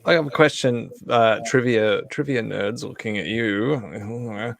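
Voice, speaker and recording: A man's voice: mid-range voice, slow pace, creaky, a bit bright. A man hosting a casual live group chat, speaking informally. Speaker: host of a live stream table read.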